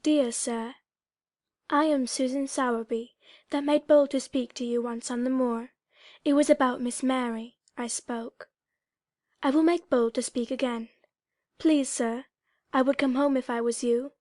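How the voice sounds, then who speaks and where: A woman's voice: sits high, steady tone, measured pace, a little mellow, dry recording, studio quality, pitched above the performer's own voice. A woman voicing a humble, motherly countrywoman reading out her letter in an earnest, plain and respectful way. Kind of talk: audiobook dramatic reading.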